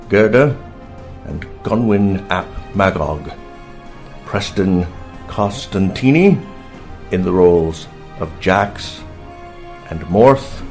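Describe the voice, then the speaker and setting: A man reading scripted closing credits as a radio-serial announcer in a measured, formal manner. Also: sits low, darkish tone. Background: music.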